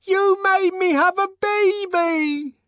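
A man voicing a faded middle-aged woman who pleads in a shrill, trembling voice. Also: very animated, measured pace, no echo. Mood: shrieking.

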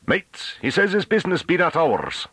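A belligerent high-pitched rustic farmer with rolled Rs. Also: male voice, huge pitch swings, normal speed, a bit bright, husky, no echo, exaggerated voice. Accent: thick rustic invented dialect with rolled Rs. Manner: taunting, archaic rustic phrasing. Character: big belligerent mocking male farmer with rustic speech.

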